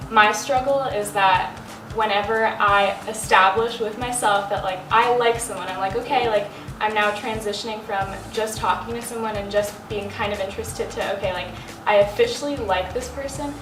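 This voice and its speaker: A woman's voice: mid-range voice, normal speed. A nineteen-year-old woman chatting thoughtfully on a studio sofa, saying like often. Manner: thoughtful, uses like a lot. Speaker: panel guest. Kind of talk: candid casual chat. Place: studio set with sofa, indoors. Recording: roomy, distant, music bed.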